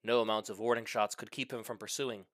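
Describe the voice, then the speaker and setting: A man's voice: on the low side, little pitch movement, a little fast, fairly bright tone, no room sound, studio quality. A man reading a comic short story aloud with mock-serious, dramatic delivery. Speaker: story reader.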